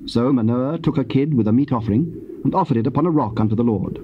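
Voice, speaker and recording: A man narrating scripture from a script in a solemn, formal, measured storytelling manner. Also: mid-range voice. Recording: dull, noisy old cassette tape.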